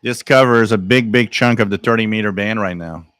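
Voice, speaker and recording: Male live-stream host, smooth and clear, dry close mic, rambling and musing, gets worked up over odd signals. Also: lowish voice, brisk, fairly bright tone, no echo. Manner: musing, thinks aloud, repeats phrases. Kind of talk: casual live commentary.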